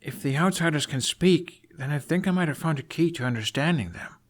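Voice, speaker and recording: An earnest young prince , acted out by a male reader, in a dramatized story. The voice is raised high and pushed loud, anxious and urgent. It hesitates, then pleads. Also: fairly quick, close to an everyday voice. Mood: hesitant, urgent. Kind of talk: dramatized fan fiction reading.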